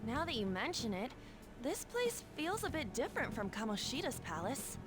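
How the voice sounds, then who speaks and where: A woman's voice: high voice, very animated, moderate pace. A woman voicing a spirited, forthright teenage schoolgirl in an anime video game. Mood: puzzled, insistent. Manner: forthright, questioning. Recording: game music behind the voice.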